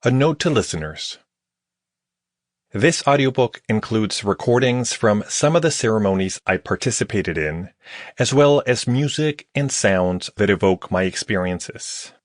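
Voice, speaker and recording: A man's voice: on the low side, dry recording. A man reading scripted nonfiction audiobook narration as the author of the book. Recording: close, dry studio recording.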